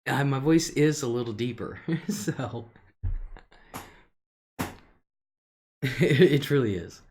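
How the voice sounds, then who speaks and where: An older woman hosting her own live stream, talking in an unhurried, drawn-out way. Her voice is a deep alto, and she chats loosely with her viewers, answers their comments and laughs between thoughts. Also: expressive, noisy background. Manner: chatty, laughs often between remarks.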